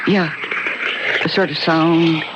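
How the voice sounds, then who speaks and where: An actress playing a polite, nostalgic woman in a 1970s radio drama, gentle, hesitant and earnest, on an old recording. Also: low voice, fairly even intonation, slow pace, dull audio, heavy background noise. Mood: wistful. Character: polite, wistful woman nostalgic for her childhood home.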